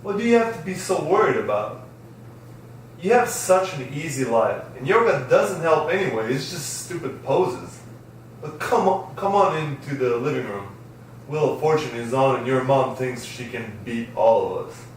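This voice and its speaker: A man's voice: mid-range voice, lively intonation, normal speed, natural voice. A man reading the part of a dismissive father at a script table read, in an echoey room far from the mic. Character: gruff dismissive working-class father of a teenage boy. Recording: echoey room, distant mic, faint noise.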